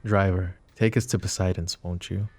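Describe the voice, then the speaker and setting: A man playing a suave, possessive mafia boss in an intimate roleplay, speaking with mock courtesy and a teasing drawl in a low, gravelly voice. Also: steady tone, moderate pace, a little mellow, slight background noise, natural voice. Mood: flirty, smug. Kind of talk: roleplay ASMR acting, intimate address to listener.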